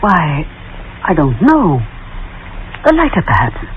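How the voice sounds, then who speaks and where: An actress playing a haughty, wary older aunt in a 1940s radio drama, evasive and indignant. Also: female voice, deep voice, huge pitch swings, takes it slowly, heavily acted. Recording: muffled, noisy vintage 1940s radio.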